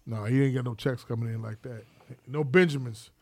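A man's voice: medium pitch, a little fast, no echo, studio quality. A man in his fifties from Chicago's South Side, co-hosting a podcast in casual chat, often stumbling and restarting his sentences. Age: 50s. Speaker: podcast co-host.

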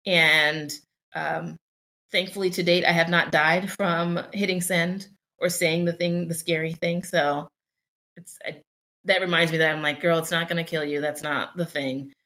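A woman's voice: lowish voice, fairly even intonation, unhurried, sharp tone. A young adult woman co-hosting a casual podcast, talking candidly and reflectively with many fillers and restarts. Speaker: podcast co-host.

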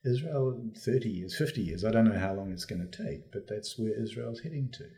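A man with a low, dark-toned voice speaks as an interview guest. He works out his views as he goes, with halting, reworked phrasing in an unscripted discussion. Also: animated.

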